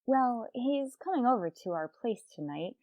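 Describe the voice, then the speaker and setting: An actress playing a wry, lovelorn schoolteacher with deadpan, sarcastic wit in an old-time radio comedy. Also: female voice, fairly high pitch, moderate pace, dry recording, phone-quality sound. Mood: urgent.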